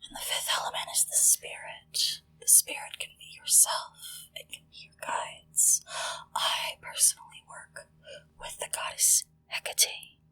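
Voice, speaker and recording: A woman whispering gently and deliberately into a close microphone, leading a ritual in ASMR style with hushed pauses. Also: poor audio. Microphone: close-up sensitive microphone.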